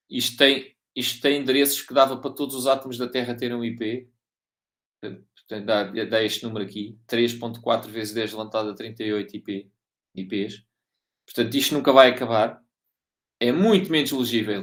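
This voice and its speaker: A man with a European Portuguese accent giving an informal technical lecture, often repeating and rephrasing himself and checking that listeners follow. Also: medium pitch. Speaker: university lecturer.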